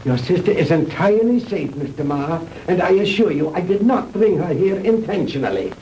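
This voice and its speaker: Casting: a condescending German mad scientist for vintage sci-fi film, grandly polite, wide swoops, noisy soundtrack. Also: male voice, high voice, big pitch swings, normal speed, warm tone. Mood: insistent. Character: mad Nazi scientist, proud, theatrically courteous, fanatical. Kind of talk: 1960s B-movie acting.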